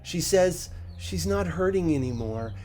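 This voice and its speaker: A man voicing a hesitant, earnest man in his thirties in audiobook dialogue, halting and emphatic. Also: on the high side, lively intonation, darkish tone, pitched above the performer's own voice.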